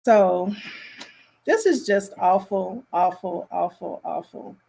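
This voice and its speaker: A woman hosting a casual reaction commentary, speaking in an appalled, emphatic and halting way. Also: medium pitch, vocal fry, dark tone, rough recording.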